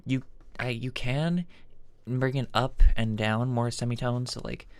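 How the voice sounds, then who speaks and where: A man with a mid-range voice hosts a casual software tutorial. He talks informally and haltingly, thinking aloud as he works through the steps. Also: medium pitch, fairly even intonation, clear, resonant tone, no room sound. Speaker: tutorial host.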